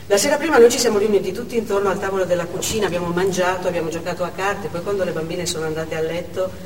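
A woman playing a devoted, excitable working mother in a chatty theatrical monologue. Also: fairly low pitch, very fast, close to an everyday voice. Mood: chatty, fond. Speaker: stage actor. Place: indoor theatre stage, recorded from afar. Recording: distant, echoey hall with noticeable noise.

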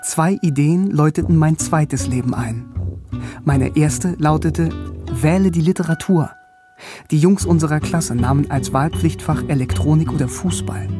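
An actor playing a bookish man wryly recalling his teenage years in a radio drama, in a measured, reflective way. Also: on the high side, music in the background, close to an everyday voice. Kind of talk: radio drama first-person narration and scenes.

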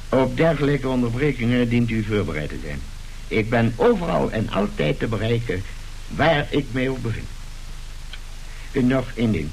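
Pompous tycoon father, gravelly, animated pitch, curt, businesslike, radio play with faint hiss. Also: poor audio. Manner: pompous, businesslike, clipped dictation. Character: pompous, curt, newly rich male tycoon and family father. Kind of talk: radio drama acting. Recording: hissy old radio drama recording.

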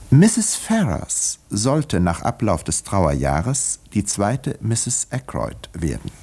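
An actor playing an urbane village doctor who narrates a 1950s radio drama with dry, measured irony. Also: male voice, lowish voice, darkish tone, exaggerated voice. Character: wry, urbane village doctor telling his own story.

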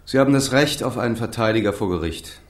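A man acting a coolly businesslike court-appointed lawyer in a radio crime drama, speaking formally, precisely and in measured phrases. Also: medium pitch, natural voice. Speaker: actor playing a defence lawyer. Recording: roomy, echoing interior sound.

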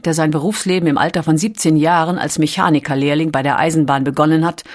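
A woman narrating a documentary with measured, dramatic storytelling. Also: deep voice.

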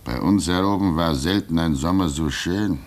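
Schauspieler als sehr tiefer, väterlicher Stationsveteran. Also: male voice, low voice, a little mellow, lightly acted. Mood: calm, wistful. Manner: soothing, hesitant, measured. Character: gentle, fatherly veteran of a lonely polar station. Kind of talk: German radio play acting.

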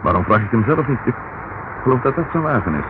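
An actor playing a dry, sceptical Scotland Yard inspector in a Dutch radio detective drama, with a measured way of talking. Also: male voice, medium pitch, sing-song, close to an everyday voice. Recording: tinny, band-limited, noisy old radio recording.

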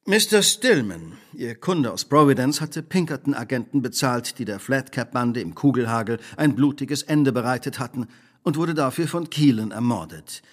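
An actor playing a shrewd, self-assured detective who speaks precisely and probingly in a radio play. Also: male voice, medium pitch, expressive, moderate pace, natural voice. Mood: incisive, deducing. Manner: precise, probing, imperious deduction. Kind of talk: dramatic radio play dialogue.

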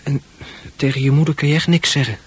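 A man playing a shy, gentle teenage boy in a radio play, speaking softly and awkwardly with a breathy, husky voice. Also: a little high, fairly even intonation, quick pace. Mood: sympathetic. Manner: hesitant, soft-spoken, awkward. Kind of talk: intimate Dutch radio play acting.

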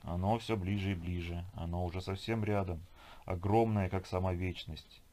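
A man's voice: deep voice, steady tone, moderate pace, warm tone. A man playing a withdrawn, anxious man who gives terse, muted, muttered replies. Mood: dazed, foreboding.

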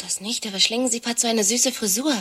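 A timid, starstruck woman in a radio drama, hesitant and eager in a mid-pitched voice, as an actress plays her. She trails off and stumbles when she asks for things. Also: fast, a bit bright, natural voice.

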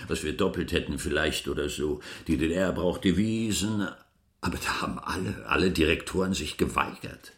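An actor playing a learned, principled East German museum curator in a conversational radio drama, in a roomy interior. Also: male voice, rumbling low, fairly bright tone, full character voice. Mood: firm, guarded. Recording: roomy, reverberant interior sound.

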